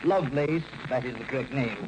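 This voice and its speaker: An actor playing an older, courteous and sly gentleman crook in a 1940s-style radio drama, talking in formal, wordy sentences. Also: mid-range voice, fairly quick, lightly acted. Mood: genteel, sly. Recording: noisy old radio recording.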